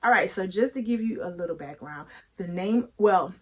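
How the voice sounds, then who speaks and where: A woman hosting a read-aloud of her own book, chatty and playful, speaking emphatically with dramatic pauses. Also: medium pitch, expressive. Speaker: author and read-aloud host.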